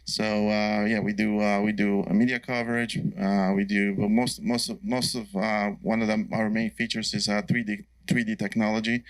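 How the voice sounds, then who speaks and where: Halting man with gravelly smooth voice. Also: fairly low pitch, flat delivery. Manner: halting, many fillers and restarts. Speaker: panelist, website creative director. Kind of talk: self-introduction on a trade panel. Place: conference panel.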